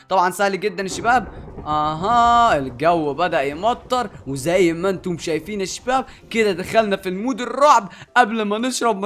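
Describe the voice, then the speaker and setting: A young man with an Egyptian Arabic accent, commentating on a Minecraft game in an animated way and often repeating words rapidly. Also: high-pitched. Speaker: gaming YouTuber and host. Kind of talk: excited Minecraft let's-play commentary. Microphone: headset, seen on webcam.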